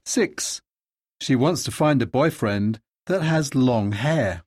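A man reading scripted dialogue lines in a language lesson, steady and carefully articulated. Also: medium pitch, takes it slowly, slightly rough, dry recording, studio quality.

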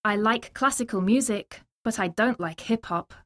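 A woman's voice: medium pitch, normal speed, fairly bright tone. A woman reading simple scripted sentences and dialogue answers for a language lesson.

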